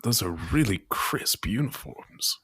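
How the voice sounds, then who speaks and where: A man's voice: mid-range voice, animated, measured pace, fairly bright tone, breathy edge. A man playing a tabletop role-playing game, bantering in character with wordy, precise phrasing.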